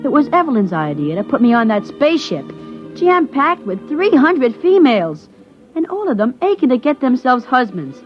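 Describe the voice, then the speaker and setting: A woman playing a bored, wisecracking young boy who narrates the story in a 1950s radio drama. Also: high-pitched. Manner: wisecracking, sulky kid narrating. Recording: dull vintage radio recording with music.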